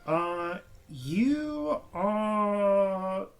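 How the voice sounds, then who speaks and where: A man's voice: sits high, sing-song. RP-accented man narrating a tabletop game. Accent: RP with Yorkshire traces. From Yorkshire. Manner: halting, filler-heavy scene description. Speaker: dungeon master.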